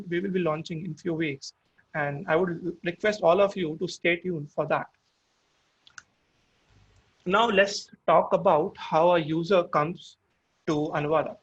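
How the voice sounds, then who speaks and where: A man's voice: high voice, husky, dull audio. A man with an Indian accent and Hindi as his first language, giving a webinar product demo, explaining step by step with frequent restarts. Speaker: product presenter.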